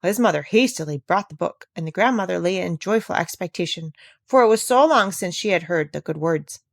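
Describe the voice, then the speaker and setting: A woman's voice: fairly low pitch, animated, fairly quick, creaky, dry recording, clean studio sound. A woman reading a children's classic aloud as a scripted storybook narrator.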